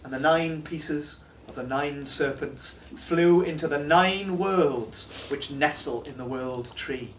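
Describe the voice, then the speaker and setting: Male storyteller, slow solemn delivery, a bit high, caught from across an echoey hall. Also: a little hiss or hum. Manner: slow, declaiming, swells to loud peaks. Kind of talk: dramatic reading of an old charm. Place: large timber hall, indoors. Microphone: camera filming from across the room. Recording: distant, roomy and dull.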